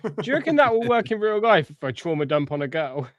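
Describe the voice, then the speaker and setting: A man in his early thirties banters crudely and pokes fun at himself on a podcast. His voice sits a little high for a man. The sound is dry and close, with no room around it. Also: sing-song, fast, dry recording, lo-fi sound. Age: 30s. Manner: crude, joking, self-mocking.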